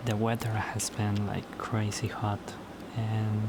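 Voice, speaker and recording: A man whose first language is Spanish, talking casually to camera in a solo vlog at home late at night, halting and often repeating words as he restarts his sentences. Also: lowish voice, monotone, very noisy. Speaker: YouTuber.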